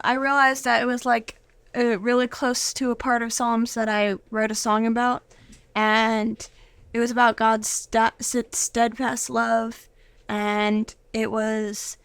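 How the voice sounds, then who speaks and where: A young girl chatting casually as an interview guest, speaking in a halting, hesitant way. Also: fairly high pitch, clear, resonant tone, dry recording. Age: child or young teen. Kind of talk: casual chat. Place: studio.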